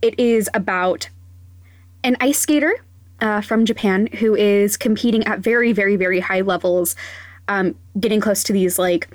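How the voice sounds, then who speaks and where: A woman's voice: medium pitch, normal speed, dry recording. A woman hosting a podcast segment, chatting enthusiastically and laughing as she gives a recommendation. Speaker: podcast host.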